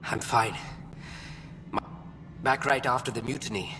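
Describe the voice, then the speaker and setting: In a big reverberant space with noisy ambience, a worried, paranoid technician for a sci-fi game. His medium-pitched speech is halting and guarded. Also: sharp tone. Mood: weary. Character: wary male station technician, paranoid about sabotage. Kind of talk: video game character dialogue.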